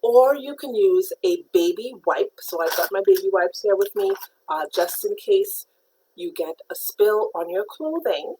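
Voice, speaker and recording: A woman's voice: medium pitch, expressive, measured pace, dark tone, a little hiss or hum, rough recording. A middle-aged woman from Trinidad teaching a live painting lesson, explaining supplies step by step and greeting viewers by name. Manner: patient, step-by-step instruction. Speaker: art teacher.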